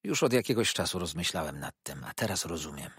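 Man voicing a kindly childlike old eccentric, excited, confiding, husky, lively. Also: low-pitched, fairly quick, dry recording, studio quality, full character voice. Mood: excited, eager.

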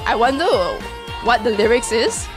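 A young woman giving excited, exclaiming reaction commentary as host, close to a desk microphone in a small room. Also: a little high, moderate pace, over music. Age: young adult. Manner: animated, giggly, exclaiming. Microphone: desk microphone close to her.

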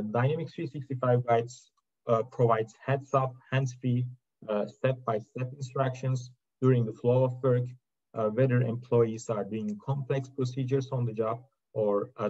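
A man giving a prepared technical slide presentation in a halting way, with frequent uhs between short phrases. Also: medium pitch, warm tone. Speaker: presenter from a technology company's research and product team. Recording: dull, lo-fi video-call audio.

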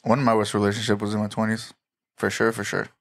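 A man in his late twenties co-hosting a podcast, chatty and full of quick questions, laughing often. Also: on the low side, completely flat delivery, vocal fry. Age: late 20s. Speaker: podcast co-host. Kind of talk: casual chat.

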